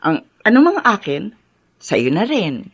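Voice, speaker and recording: An earnest bride in a Tagalog radio drama. She has a mid-pitched voice and is gently bossy, practical and kind. The sound is close and dry. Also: huge pitch swings, measured pace, husky, no room sound, highs cut off, full character voice. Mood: brisk, kindly. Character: Remedios, warm practical bride in a marriage of gratitude.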